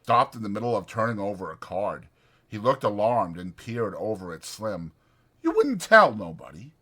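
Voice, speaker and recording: Bright-voiced man narrating an audiobook. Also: lowish voice, moderate pace. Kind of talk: dramatic scripted narration.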